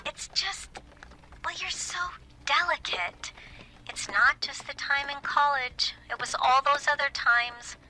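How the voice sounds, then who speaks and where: A woman's voice: high-pitched, slow, pushed and bright, faint music behind, heavily acted, rough recording. An actress in an audio drama playing a fretful, smothering middle-aged mother with a sugary, hesitant, disapproving manner. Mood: fretful, coaxing. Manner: hesitant, cloying, passive-aggressive.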